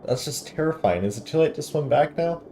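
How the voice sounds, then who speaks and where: A man's voice: fairly high pitch, lively intonation, fairly quick, mellow, slight background noise, voice pushed up. Man voicing a timid, chatty little fairy companion. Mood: worried. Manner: talks of self in third person.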